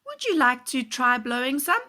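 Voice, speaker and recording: A woman's voice: high-pitched, big pitch swings, moderate pace, sharp tone, pitched above the performer's own voice, full character voice. A woman voicing a kindly, mysterious grown man in a children's story, friendly, playful and encouraging. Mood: friendly, reminiscing.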